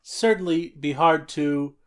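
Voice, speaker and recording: Loud, jolly man co-hosting a podcast. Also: on the high side, measured pace, clean tone. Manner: loud, jolly, stammers and restarts often. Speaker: podcast co-host. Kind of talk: casual chat, reading a listener letter.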